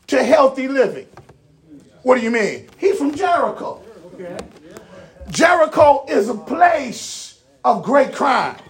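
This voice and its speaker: Animated high-pitched preacher man, gravelly edge. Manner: rhetorical, emphatic preaching with repeated phrases and direct appeals to listeners.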